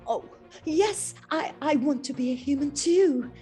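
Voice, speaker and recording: A woman's voice: high-pitched, unhurried, mellow, faint music behind, voice pushed up. A woman voicing a young lovestruck mermaid princess, earnest and anxious, with pleading exclamations in a children's story. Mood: anxious longing.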